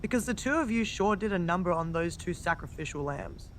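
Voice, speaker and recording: A woman's voice: on the low side, lively intonation, fairly quick, close to an everyday voice. A woman playing a frank, teasing wrestler and loyal tag team partner in a conversational, wry video game scene. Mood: wry, questioning. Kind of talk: video game cutscene dialogue. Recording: game audio with clear background noise.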